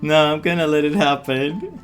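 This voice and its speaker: Female gaming commentator: casual, unhurried, strikingly deep register, music behind. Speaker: gaming streamer.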